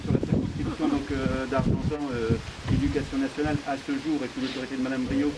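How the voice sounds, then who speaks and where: Guy from the school authority, mid-pitched voice, formal and kinda halting, really noisy recording. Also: level tone. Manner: hesitant, measured formal wording. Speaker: education authority representative. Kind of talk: spontaneous report in group interview.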